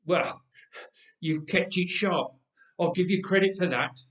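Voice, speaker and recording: A man's voice: high-pitched, measured pace, fairly bright tone, far from the mic. A man playing a weary uncle in a story, defensive and given to sighing and muttering. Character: weary, evasive uncle, reluctant disciple in debt. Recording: roomy, echoing space.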